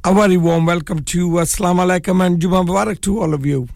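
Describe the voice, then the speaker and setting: A man hosting a live community radio show, hoarse with a cold and croaky, chatting in a relaxed way with many fillers. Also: sits high, very fast, music in the background. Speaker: radio presenter. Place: radio studio.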